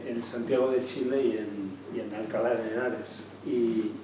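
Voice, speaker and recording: A man making spontaneous, reflective remarks at a theater discussion, halting and checking for agreement. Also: medium pitch, fairly even intonation. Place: indoor theater venue during a public discussion. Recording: very echoey room, distant mic, faint noise.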